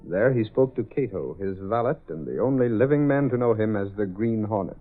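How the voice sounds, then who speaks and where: A man's voice: lowish voice, lively intonation, brisk, soft background music. A man narrating a scripted old-time radio drama as its announcer, formal and dramatic. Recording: tinny phone-like old radio recording.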